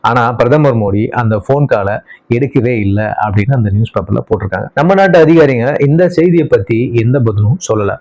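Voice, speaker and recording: A man's voice: medium pitch, a little mellow, muffled recording. A man presenting explanatory news commentary, talking conversationally and laying things out point by point. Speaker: news presenter on a geopolitics channel.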